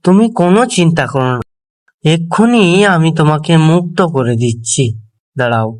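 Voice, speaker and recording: A man's voice: fairly high pitch, animated, moderate pace, slight background noise, natural voice. An actor playing a clever, fretful Brahmin pandit in a cartoon fable, a man's voice. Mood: reassuring. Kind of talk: cartoon voice acting.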